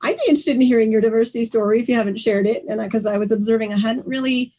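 A woman hosts a conversational interview. Her voice sits a little high. She phrases things reflectively and wordily, often repeating a word as she works toward her point. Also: fairly even intonation, very fast, mellow. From Canada. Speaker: live stream host, interviewer.

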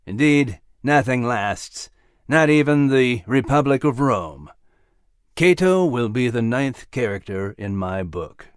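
A man voicing a gentle, grave male scholar of the ancient world, measured and reflective, in an audio story. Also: medium pitch, lively intonation, clean tone, no room sound, studio quality.

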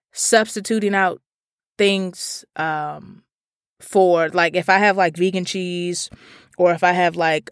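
A young woman hosting a podcast, talking in an animated, exasperated way, with frequent fillers and swearing. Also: fairly low pitch, no room sound, studio quality. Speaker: podcast host. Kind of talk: casual podcast monologue.

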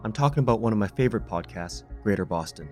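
Slightly low-pitched man hosting a podcast. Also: animated, quick pace, darkish tone, faint music behind. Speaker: podcast host. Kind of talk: podcast announcement and personal recommendation read.

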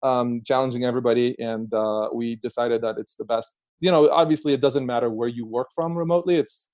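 A man's voice: mid-range voice, steady tone, fairly quick, darkish tone, no room sound. Israeli-accented man on a muffled call. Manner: hesitant, lots of ums, light laughs. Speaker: guest, company co-founder. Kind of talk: interview answers. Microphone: remote call. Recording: dry, dull lo-fi call audio.

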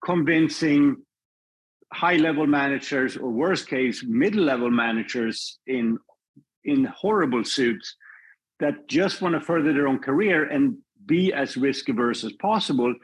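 A male filmmaker being interviewed on a podcast, talking in a measured, reflective way and often restarting his sentences. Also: medium pitch, lively intonation, slightly rough, poor audio. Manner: thoughtful, measured, with frequent restarts. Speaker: filmmaker guest.

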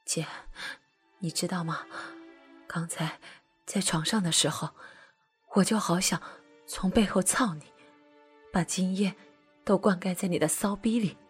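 A woman voicing an eager younger brother, speaking in a breathy, hushed half-whisper. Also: unhurried, pauses often, faint music behind. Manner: low murmuring, half-whispered.

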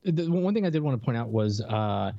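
A man's voice: mid-range voice, very animated, fairly quick, gravelly, no room sound, highs cut off. A man co-hosting a podcast, talking casually and informally as he reviews old cartoons. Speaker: podcast co-host.

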